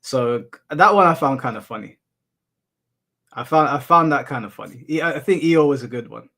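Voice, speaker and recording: A man from the UK with a monotone voice, casually chatting and answering his livestream chat, laughing off insults. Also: mid-range voice, moderate pace. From United Kingdom. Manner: relaxed, laughs off insults, addresses chat as bro. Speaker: streamer. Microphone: headphones in, desk mic.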